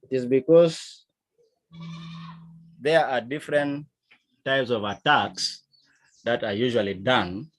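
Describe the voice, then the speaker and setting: A man from South Sudan with a South Sudanese accent, teaching a lesson in a deliberate, emphatic manner. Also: medium pitch, fairly even intonation, poor audio. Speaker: instructor. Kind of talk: digital literacy lesson, explaining to learners.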